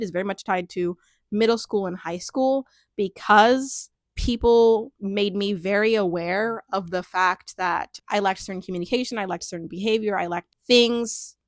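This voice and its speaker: A young adult woman speaking candidly in a reflective personal monologue, thoughtful and searching, with frequent "like" fillers and soft laughs. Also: mid-range voice, dry recording, clean studio sound. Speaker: personal storyteller.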